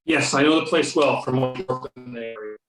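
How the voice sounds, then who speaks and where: A man's voice: mid-range voice, a little fast, music in the background, close to an everyday voice. A man playing an earnest, argumentative agent who believes in UFOs, reading in an amateur readers theater over a video call. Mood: assertive. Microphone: video or conference call. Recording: roomy, distant video-conference microphone.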